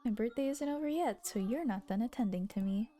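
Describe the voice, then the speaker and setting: Woman putting on a high, bossy young girl. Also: animated, fairly quick, warm tone, soft background music, lightly acted. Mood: impatient, demanding. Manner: demanding, coaxing. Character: pert, bossy, teasing young girl of a noble house.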